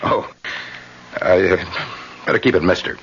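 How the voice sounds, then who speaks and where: An actor playing a confident, probing undercover police detective in an old-time radio drama, assured and deliberate. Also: male voice, deep voice. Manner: deliberate, assured, with thoughtful hesitations. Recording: muffled, noisy old radio recording.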